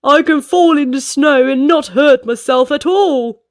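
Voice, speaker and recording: Woman as a delighted, high-voiced clumsy little fellow. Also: a little slow, dry recording, clean studio sound. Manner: excited crying out. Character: cheerful, clumsy male storybook character, grinning.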